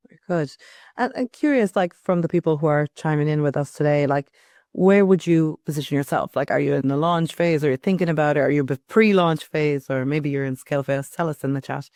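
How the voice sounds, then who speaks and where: A woman's voice: sits low, a little fast, darkish tone, no room sound, clean studio sound. A woman hosting an unscripted panel chat, conversational and inquisitive as she addresses guests and viewers. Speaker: host, panel moderator. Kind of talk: unscripted moderating of a fireside chat.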